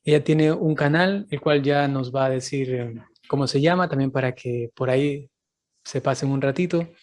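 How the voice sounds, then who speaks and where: A man's voice: medium pitch, moderate pace. A man, a priest hosting his channel, interviewing a guest over a video call and laughing often. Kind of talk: interview host.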